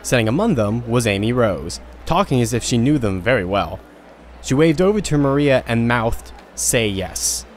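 A man's voice: medium pitch, lively intonation, normal speed, a little hiss or hum. A man narrating an audio drama in a casual storytelling manner.

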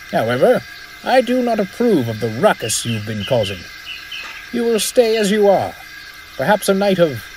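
A man playing a stern male railway director in a children's story, speaking in a formal, measured, scolding manner. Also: a little high, a little mellow, a little hiss or hum, voice pushed up, poor audio.

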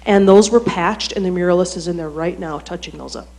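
A woman at a podium with a handheld microphone, explaining a building project to a council in a clear, matter-of-fact way. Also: lowish voice, fairly quick, a little mellow. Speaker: project staff reporting to council. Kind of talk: explanatory report.

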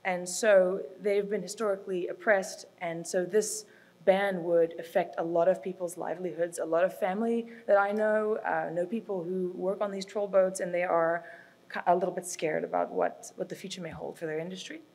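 A woman's voice: fairly low pitch, fairly even intonation, normal speed, darkish tone. A young woman from South Africa whose first language is Afrikaans, earnestly explaining her reporting project at a conference podium with frequent ums and uhs. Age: young adult. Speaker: student reporting fellow presenting her project. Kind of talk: conference presentation. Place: hotel function room with a projection screen. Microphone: podium microphone, heard from a distance.

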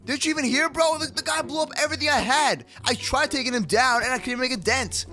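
A man playing an excitable, sassy kid hero in an animated gaming roleplay, peppering his talk with slang. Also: extremely high pitch, quick pace. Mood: indignant. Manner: slangy, says bro and dude constantly. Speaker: gaming video creator playing the lead character. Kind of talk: animated Minecraft kids roleplay acting.

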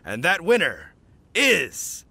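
A man playing a hearty village hunter in a video game, speaking in a grandiose, deliberate and dramatic way. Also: fairly high pitch, wildly swooping pitch, creaky, background noise, exaggerated voice. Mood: grand, solemn. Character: hearty village hunter acting as solemn contest judge. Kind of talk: video game character acting.

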